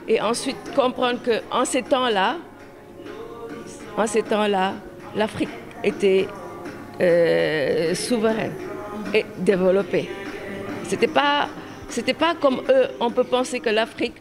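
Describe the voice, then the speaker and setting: A woman speaking in an interview, haltingly and often repeating words. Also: medium pitch. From Senegal. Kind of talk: spoken interview. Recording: music playing behind the voice.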